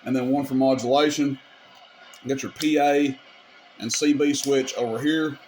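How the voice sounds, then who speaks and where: A man's voice: medium pitch, steady tone, normal speed, a little mellow, a little hiss or hum. A man giving a casual hands-on equipment demonstration, explaining things step by step in an informal, folksy way. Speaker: radio repair video host demonstrating gear.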